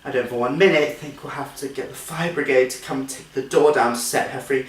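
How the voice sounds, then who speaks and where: A man's voice: medium pitch, fairly quick, close to an everyday voice. A man performing a comic stage monologue as a genteel female nursery teacher, politely coaxing and scolding with strained cheer. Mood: firm, exasperated. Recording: echoey room, distant microphone.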